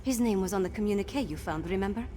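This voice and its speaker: A woman's voice: mid-range voice, racing, mellow, slight background noise, lightly acted. An actress playing a fierce, determined young noblewoman rebel in a fantasy video game, impassioned and earnest. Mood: grave, wary. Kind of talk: dramatic scripted video game dialogue. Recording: long reverb, game music behind.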